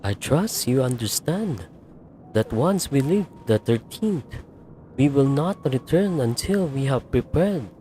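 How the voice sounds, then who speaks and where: A man playing a solemn, courteous adventurer in a video game, speaking formally and deliberately. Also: medium pitch, lively intonation, dark tone, a little hiss or hum. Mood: courteous, resolved. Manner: formal, deliberate, archaic politeness.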